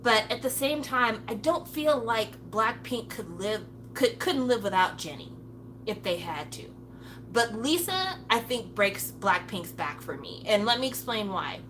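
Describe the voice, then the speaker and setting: A woman talks in a loose, unscripted monologue, blunt and swearing freely. She slips into lively impressions of other people as she goes. Her tone is bright and forceful, with a faint hum underneath. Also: fairly high pitch, unhurried. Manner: acts out playful voices of others. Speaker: commentator. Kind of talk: casual opinion monologue.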